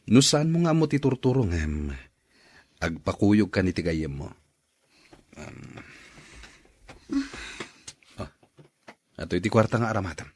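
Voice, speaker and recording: A man's voice: fairly low pitch, expressive, measured pace, pauses often, a little mellow, slightly rough. An actor with a Filipino accent playing a stern older male relative in an Ilocano radio drama. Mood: matter-of-fact.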